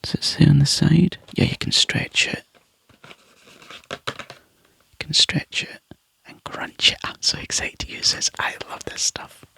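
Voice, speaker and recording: A Scottish man chatting to viewers in a soft, rambling ASMR whisper, close to the mic. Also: on the high side, little pitch movement, a little slow, gravelly, pauses often. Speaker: ASMR YouTuber.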